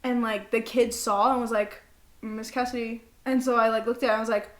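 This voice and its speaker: High-voiced, giggly young woman in a roomy space. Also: flat delivery, fairly quick. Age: young adult. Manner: giggly, goofy, self-mocking. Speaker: podcast co-host. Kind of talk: casual chat between best friends, with bits of journal reading. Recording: roomy, echoey indoor sound.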